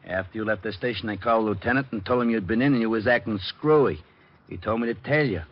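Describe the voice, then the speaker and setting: Guy doing a dim slangy new desk sergeant, bit rough voice, dull vintage radio sound. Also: lowish voice, fast, lightly acted. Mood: matter-of-fact. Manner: plain-spoken, slangy, ungrammatical. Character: dim, dutiful male New York police sergeant. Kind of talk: 1950s radio drama comic cop acting. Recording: muffled old radio recording.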